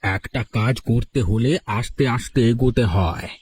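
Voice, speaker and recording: A man voicing a patient, humble old village schoolteacher in a cartoon, who speaks gently and calmly. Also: medium pitch, moderate pace, breathy edge, close to an everyday voice. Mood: gentle. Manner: gentle, calm, pleading. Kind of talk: cartoon voice acting.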